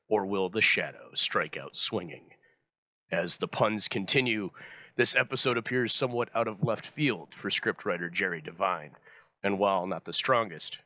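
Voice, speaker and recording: A male host reads a formal scripted introduction. His voice is deep and bright, captured dry and close. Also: dry recording. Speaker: host introducing old radio episodes. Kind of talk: scripted narration.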